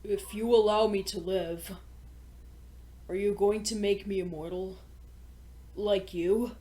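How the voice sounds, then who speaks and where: A woman's voice: medium pitch, steady tone, takes it slowly, warm tone, close to an everyday voice. A woman voicing a meek captive stallion pony, hesitant and earnest, in a fan fiction audio story over guitar music. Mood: earnest, questioning.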